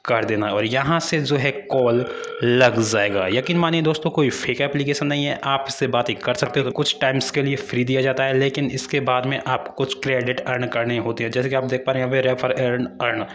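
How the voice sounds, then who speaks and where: A man's voice: mid-range voice, steady tone, brisk, fairly bright tone. An Indian man hosting a tech channel, giving a step-by-step app tutorial in voice-over and addressing viewers directly as friends.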